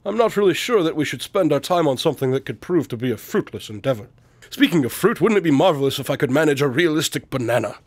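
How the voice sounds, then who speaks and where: An actor playing an eccentric, condescending detective-inventor in a radio drama, speaking in formal, precise, calmly pompous diction. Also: male voice, fairly high pitch, quick pace, no echo. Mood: reluctant, pompous.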